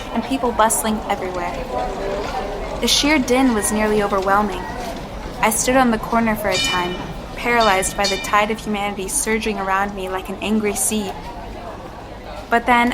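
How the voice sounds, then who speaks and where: A woman's voice: a little high, background noise, natural voice. An actress playing an anxious young woman who narrates and pleads for answers in an audio drama. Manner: tense, hesitant, reflective in narration. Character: anxious young woman trapped in a man's body. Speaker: narrator and lead.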